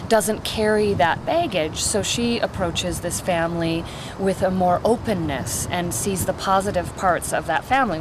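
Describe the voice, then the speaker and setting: Actress interviewee, female, lower register, thoughtful and halting, clear urban noise behind her. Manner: thoughtful, halting, searching for words. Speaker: stage actress being interviewed. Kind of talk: reflective interview.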